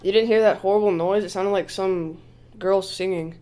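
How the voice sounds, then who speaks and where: A man's voice: sits high, steady tone, moderate pace, a little mellow, smooth. A man making a prank phone call, chatting with an earnest, clueless manner. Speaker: prank caller.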